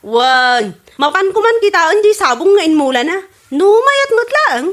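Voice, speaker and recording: A high-pitched, boastful Ilocano wife played by a woman in a comic radio drama. She nags and envies the neighbours, her pitch swinging widely. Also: big pitch swings, normal speed, fairly bright tone, exaggerated voice. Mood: gloating. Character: boastful, nagging wife jealous of neighbour's garden.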